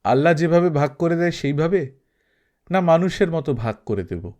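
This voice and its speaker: A man's voice: a little high, expressive, moderate pace, darkish tone, voice pushed up, close to an everyday voice. A man voicing a grave, wry old male sage addressing children.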